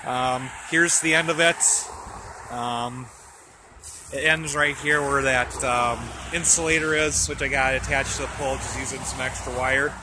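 A man's voice: medium pitch, fairly even intonation, unhurried. A man giving a casual, off-the-cuff walkaround narration for a home video, thinking aloud hesitantly as he films outdoors on a handheld camera. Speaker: amateur video host showing his radio antennas. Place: outdoors in a snowy residential yard. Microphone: handheld camera or phone held by the speaker. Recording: noisy outdoor recording with traffic.

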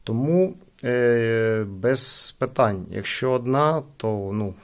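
A man's voice: medium pitch, lively intonation, takes it slowly. A man hosting a casual product review, talking informally to his viewers as friends. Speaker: gadget unboxing channel host.

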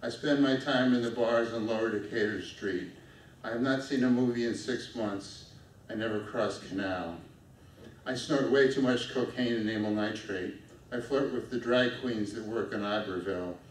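Low-voiced man reading poetry in an echoey room. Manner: steady, measured reading from paper at a lectern. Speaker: poet reading his own work. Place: small dark stage with spotlights. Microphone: stand mic at a lectern, filmed from far off. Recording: echoey room from far off, faint noise.

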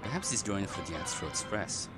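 A man's voice: low-pitched, very animated, fairly quick. A man who streams games, giving casual live commentary and reading the on-screen text aloud. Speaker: game streamer. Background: loud game music.

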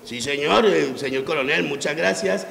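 A man's voice: a little high, normal speed, fairly bright tone, a little hiss or hum. A man voicing a cheeky elderly grandfather puppet who chuckles often and mixes English words into his Spanish. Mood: jovial. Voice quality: gravelly. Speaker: puppet co-presenter. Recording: echoey room.

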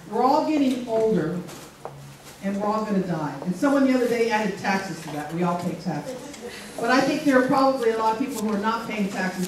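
A middle-aged woman with a strong, loud voice gives a chatty, joking talk with many fillers to a conference audience. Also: fairly high pitch. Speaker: speaker. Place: civic center meeting room. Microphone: handheld mic, far camera. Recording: echoey hall from far off, noisy.

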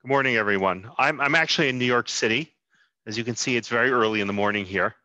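Brief: man in his sixties, headset mic, bright tense voice, dull dry call audio. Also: medium pitch, quick pace, no echo. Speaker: guest speaker. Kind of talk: opening a presentation. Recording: muffled video call audio.

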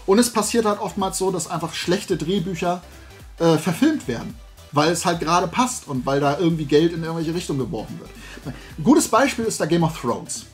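A man's voice: a little high, fairly quick, soft background music. A man, chatting to camera in a casual, opinionated, rambling and emphatic way as a video host. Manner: animated rambling, colloquial, emphatic. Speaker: YouTube host of a toy collecting channel. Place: small indoor room lined with shelves of toys.

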